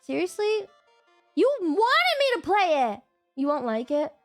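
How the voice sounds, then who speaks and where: A high-voiced woman sounds stuffed up from a cold as she rambles through a casual stream. She slides into whiny, playful protests, and soft music plays faintly behind her home desk mic. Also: very animated, measured pace, no echo. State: congested with a cold. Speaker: livestreamer.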